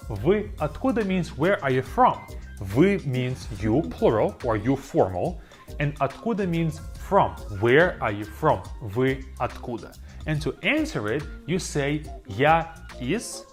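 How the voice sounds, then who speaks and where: An auto-dubbed teacher's voice walks through beginner phrases, repeating each word slowly. The pitch moves in a lively way, and faint music plays underneath. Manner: slow, repeats words for learners. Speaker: language teacher. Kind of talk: scripted lesson narration.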